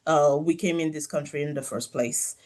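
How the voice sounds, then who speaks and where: A woman from France whose first language is French, speaking as an interview guest over a video call from her office, telling her story in a measured, reflective way with soft laughs. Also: low-pitched, slight background noise. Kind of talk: conversational interview.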